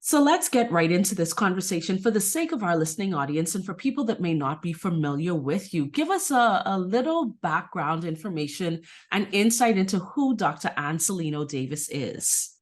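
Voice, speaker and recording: Lowish-voiced, enthusiastic Bahamian woman host. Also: lively intonation, normal speed, hardly any pauses. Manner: enthusiastic, chatty. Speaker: radio co-host. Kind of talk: conversational radio interviewing.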